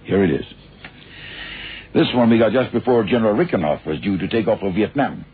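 Very husky, worried official. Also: male voice, fairly low pitch, lively intonation, fairly quick, narrow, tinny audio. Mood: urgent, grave. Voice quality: husky, rough. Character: worried, brisk male official briefing investigators. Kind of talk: 1970s radio crime drama acting. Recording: thin, band-limited old radio recording.

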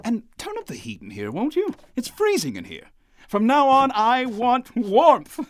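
An actor playing an eccentric, exuberant writer in a radio drama, with florid, theatrical, old-fashioned courtly speech. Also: male voice, very high voice, huge pitch swings, unhurried, a little hiss or hum, exaggerated voice. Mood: manic, exuberant.

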